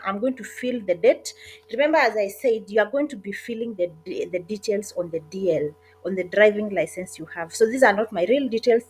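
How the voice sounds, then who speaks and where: A woman narrating an online job application walkthrough in a casual, hesitant way, with frequent pauses and self-corrections. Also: medium pitch, a little hiss or hum. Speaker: online job tutorial creator. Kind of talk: casual screen-recorded walkthrough.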